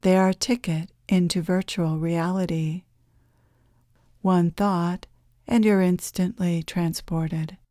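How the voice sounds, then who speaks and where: A woman leads a guided meditation in a soft-edged, mellow voice. She speaks very slowly and gently, leaving long, soothing pauses. The sound is dry, with very little room around it. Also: on the low side, fairly even intonation, dry recording. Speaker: guided meditation leader, channeler.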